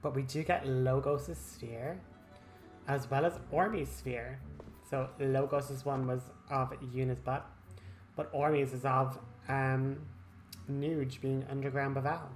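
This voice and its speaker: Man, casual let's play commentary, chatty, teasing asides, mid-pitched, game music behind. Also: flat delivery, slow pace. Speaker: gaming video host.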